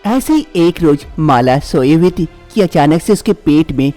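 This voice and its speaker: Man, storyteller, scripted narration, soft dark tone, light music behind. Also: on the high side, expressive, measured pace.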